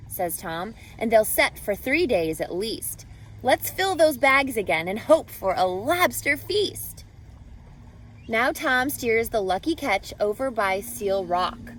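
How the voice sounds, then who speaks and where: A woman reading a picture book aloud to children as a teacher, expressively, pausing to ask guiding questions and explain. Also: on the high side, a little hiss or hum, poor audio.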